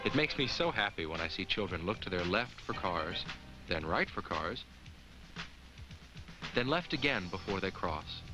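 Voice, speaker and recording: An actor playing a friendly, earnest talking jack-o'-lantern, gently instructing children in the scripted style of a 1980s educational film. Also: male voice, low voice, big pitch swings, normal speed, long pauses, over music, rough recording. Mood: kindly, instructive.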